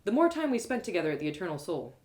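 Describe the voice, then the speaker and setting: A woman reading a first-person fantasy story aloud as a live storyteller, expressive and with dramatic pauses. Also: fairly low pitch.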